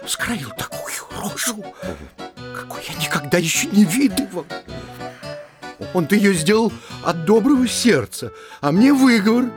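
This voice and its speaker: High-voiced flustered school superintendent. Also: male voice, lively intonation, unhurried, music in the background. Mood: plaintive, flustered. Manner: nervous, plaintive, repeating himself. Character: timid, flustered, put-upon school superintendent, a man. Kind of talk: classic comedy audio drama acting.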